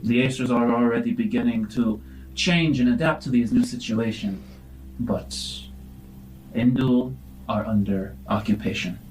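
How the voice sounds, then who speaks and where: A man acts out a formal scientist doctor in a sci-fi tabletop adventure. He is earnest and measured, with a mid-pitched voice over faint music. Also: a little mellow, lightly acted, low-quality recording. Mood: earnest, cautioning. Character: grateful, earnest scientist doctor of a space outfit.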